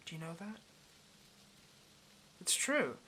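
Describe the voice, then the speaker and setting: A man's voice: high-pitched, sing-song, takes it slowly, sharp tone, a little hiss or hum, exaggerated voice, low-quality recording. A man playing a lonely, clingy yandere demon in an intimate audio roleplay, speaking tenderly and hesitantly straight to the listener with obsessive devotion. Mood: soft, tender.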